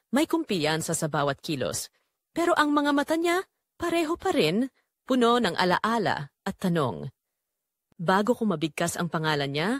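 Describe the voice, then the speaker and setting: A woman reading a romance story as dramatic scripted narration, in a measured, emotive way. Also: lowish voice, no room sound, studio quality.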